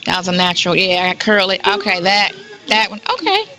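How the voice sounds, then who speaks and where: A woman's voice: medium pitch, expressive, a little fast, a crowd in the background. A woman in her fifties chatting casually on a call, blunt and slangy as she tells stories and quotes what others said. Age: 50s. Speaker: caller on a prison call. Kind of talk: casual gossipy phone chat.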